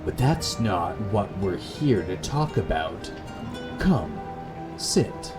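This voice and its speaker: Man voicing a slow, wounded gothic woman lover. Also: low voice, very animated, music in the background, exaggerated voice. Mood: wounded, restrained. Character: wronged gothic lover Lilith, woman, tender yet bitterly accusing.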